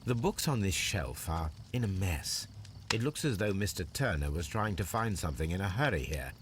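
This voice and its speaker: An actor playing a shrewd, analytical gentleman detective in a video game, with an English accent and a precise, formal way of speaking. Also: low-pitched, big pitch swings, moderate pace, background noise. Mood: observant, analytical. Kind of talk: video game detective monologue, deliberate observations.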